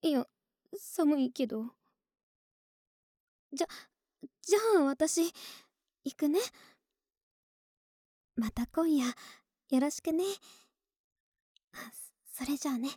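A woman playing a clingy, sweet young neighbor in an ASMR voice drama, soft and breathy, often whispering, intimate and coaxing, close to the mic. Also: sits high, slow pace, long pauses, no echo. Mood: shivering, cheerful. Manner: intimate, affectionate, hesitant and coaxing. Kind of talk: Japanese ASMR situation voice drama. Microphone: close, dry ASMR microphone.

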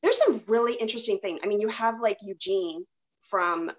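A woman's voice: mid-range voice, normal speed, muffled recording, slight background noise. Enthusiastic woman host, mid-range voice. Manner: enthusiastic, effusive. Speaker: podcast host. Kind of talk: casual chat.

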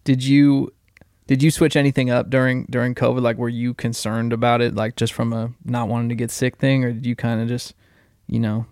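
Man about thirty, gravelly voice, dry close sound, rambling podcast host. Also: medium pitch, fairly quick, no room sound. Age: around 30. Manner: relaxed, rambling, swears casually. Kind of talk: casual podcast chat.

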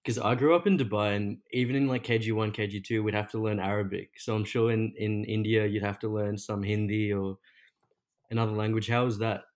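A man's voice: fairly low pitch, steady tone, a little fast. A man co-hosting a podcast, asking questions in an easygoing chat. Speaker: podcast co-host. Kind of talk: casual interview chat.

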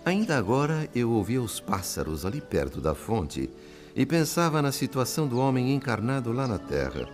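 An actor with a Brazilian accent playing a wise, kindly spirit friend in a radio drama, speaking in a theatrical, didactic way. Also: male voice, mid-range voice, big pitch swings, normal speed, soft background music, close to an everyday voice. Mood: earnest, instructive. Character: wise, kindly male spirit friend narrating his afterlife story.

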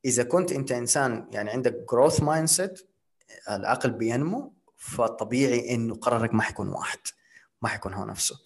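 A man's voice: mid-range voice, fast. A man speaking as a podcast guest, explaining ideas through rhetorical questions and English terms. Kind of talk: casual podcast conversation.

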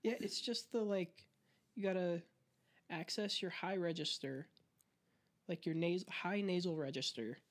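A young man co-hosting a podcast, chatting casually in a quick, rambling way with giggles. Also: sits high. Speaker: podcast co-host. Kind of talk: casual chat.

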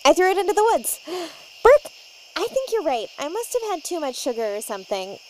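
Bubbly, high-pitched oblivious woman. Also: big pitch swings, fairly quick, a little mellow, slight background noise, low-quality recording. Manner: bubbly, oblivious, cheerfully unbothered. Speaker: cast member. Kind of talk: comedic audio sketch.

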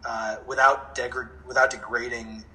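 A man with a bright, clear-edged voice talks in a reflective interview. His pitch is average for a man. He sounds earnest and open, choosing his words with some hesitation. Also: level tone, normal speed. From born in America to Indian parents, grew up in several countries. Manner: earnest, candid, hesitant with frequent throat clearing. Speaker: interview guest, dance music producer.

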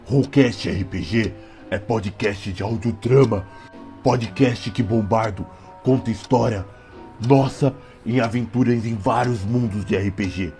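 A man playing a slow-witted brutish fantasy creature who talks simply and calls himself by name in an audio drama promo. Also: lowish voice, mellow, close to an everyday voice. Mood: plain, simple. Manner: simple broken speech, refers to self by name. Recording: music behind the voice.

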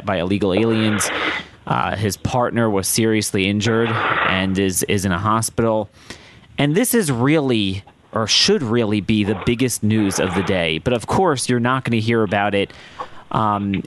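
Hoarse, low-voiced male podcast host. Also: noisy background. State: hoarse, losing his voice. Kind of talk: political monologue and guest introduction.

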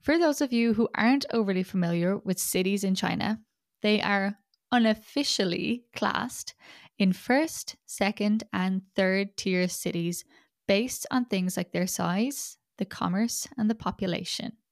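A woman's voice: medium pitch, a little slow, dry recording, clean studio sound. A young Irish woman with an Irish accent hosting a podcast, talking in a chatty, self-deprecating and wry way. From Ireland. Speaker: podcast host. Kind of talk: scripted podcast monologue.

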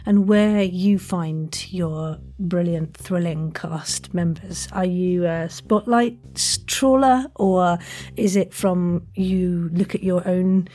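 A woman's voice: fairly low pitch, slow pace, mellow. A woman hosting a casual interview, chatty and effusive as she puts questions to her guest. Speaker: podcast host, interviewer.

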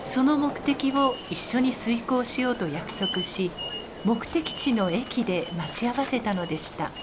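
A woman's voice: on the high side, animated, measured pace. A woman reading scripted narration as the narrator of a radio drama. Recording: clear background noise and ambience.

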